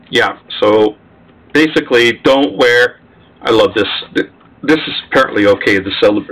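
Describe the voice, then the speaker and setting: A man's voice: mid-range voice, gravelly, sharp tone, rough recording. A man co-hosting a casual podcast chat, making dry, wry remarks. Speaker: podcast co-host.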